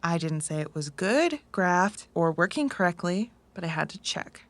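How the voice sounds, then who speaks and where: A woman's voice: fairly low pitch, very animated, moderate pace, dry recording. A woman playing a blunt, skeptical cyberpunk runner with a dry, frank manner in an audio drama. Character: blunt, sardonic female cyberpunk runner, fond but skeptical.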